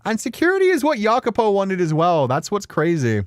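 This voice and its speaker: A man's voice: high voice, huge pitch swings, fairly quick, dry recording. A man giving casual, emotional commentary on a story game, rambling informally through his analysis as a streamer. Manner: informal, swearing, rambling analysis with acted-out paraphrases.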